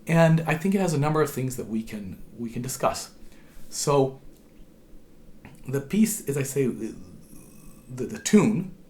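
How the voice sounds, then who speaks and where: A hoarse man with a cold, patiently explaining how to play a tune as a harp teacher, his voice sometimes dropping to a whisper. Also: mid-range voice, big pitch swings, measured pace, long pauses, darkish tone, a little hiss or hum. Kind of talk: instructional tutorial.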